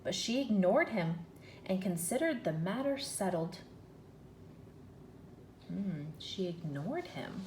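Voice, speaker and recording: A woman teacher reading a children's story aloud in an expressive, deliberate, questioning way. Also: mid-range voice. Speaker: first-grade teacher. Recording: echoey room with faint noise.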